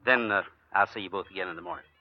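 An actor playing a steady, folksy cowboy hero in a 1940s radio drama, confident and sure. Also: male voice, low-pitched, very fast, over loud music, close to an everyday voice. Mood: reassuring. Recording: dull lo-fi old radio recording.

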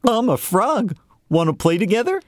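A man's voice: high voice, big pitch swings, moderate pace, no echo, clean studio sound, pitched above the performer's own voice. A man voicing a cheerful, eager young male frog in a told folktale. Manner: bright, eager, pleading.

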